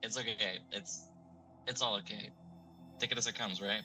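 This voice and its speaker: Man playing laid-back young stoner, teasing and reassuring, lowish pitch, very bright forward tone, audio drama, faint music behind. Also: steady tone, normal speed, low-quality recording.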